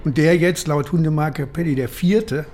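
An actor in his sixties playing a gruff, defensive, nostalgic police chief in a German radio crime drama. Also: a little high, normal speed, darkish tone, a little hiss or hum, lightly acted. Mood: wistful, guarded. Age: 60s.

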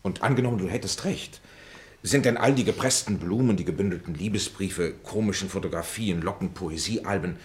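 A deep male voice acted for radio drama of a middle-aged doubter among old schoolmates. He is mocking and ironic, leaning on his elaborate phrases with theatrical emphasis. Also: brisk, close to an everyday voice. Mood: sceptical, ironic. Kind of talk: theatrical radio play dialogue.

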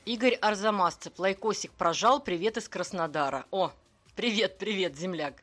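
A woman's voice: mid-range voice, normal speed, fairly bright tone. A woman from southern Russia co-hosting a live stream, chatting casually and thanking viewers by name as she reads donations. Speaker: stream co-host reading donations.

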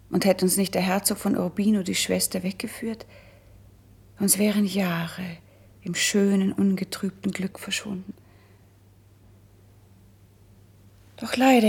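Gentle princess, very breathy husky voice, creaky edges, composed, wistful, elevated verse, classic radio drama. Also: female voice, medium pitch, heavily acted. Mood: wistful nostalgia. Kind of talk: classic German radio play, stage verse acting.

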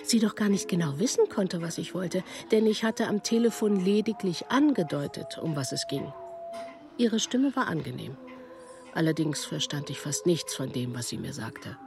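Literary narrator, plain factual telling, music underneath. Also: male voice, high-pitched, lively intonation, moderate pace, gravelly. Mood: reflective. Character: hesitant first-person narrator recounting a visit.

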